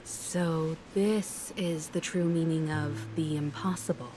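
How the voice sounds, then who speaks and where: Low, composed, perceptive woman. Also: fairly even intonation, slow pace, a crowd in the background, rough recording. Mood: composed, probing. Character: composed, perceptive woman deducing hidden truths. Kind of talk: scripted video game dialogue. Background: game music.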